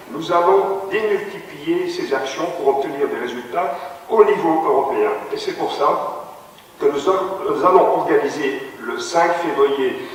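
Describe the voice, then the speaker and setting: A man's voice: fairly high pitch, fairly bright tone. A man delivering a formal, declamatory address to a conference in a parliament hall. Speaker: conference speaker. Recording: reverberant hall, distant mic, faint room noise.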